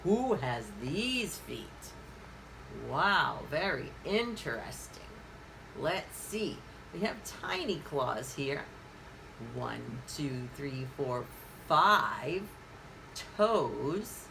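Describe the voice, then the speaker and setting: A woman hosting a children's story time, reading interactively in a sing-song way and pausing after questions as if for answers. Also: deep voice, sing-song, vocal fry, background noise. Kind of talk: interactive picture-book reading for young children.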